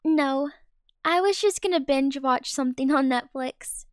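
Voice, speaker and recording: A young woman voicing Hazel, a kind, lonely teenage schoolgirl, speaking softly and slowly. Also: high-pitched, dry recording, clean studio sound. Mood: curious. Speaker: voice actor. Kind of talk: amateur voiced game movie.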